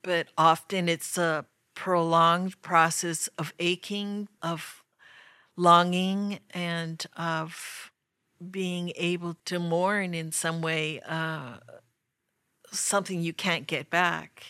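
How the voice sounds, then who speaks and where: A woman artist talks about her work in an interview, thoughtful and unhurried, with frequent pauses. Her tone stays low and fairly flat, and the recording is dry and close. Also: clear, resonant tone, no room sound. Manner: slow, thoughtful, pausing often. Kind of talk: reflective interview talk.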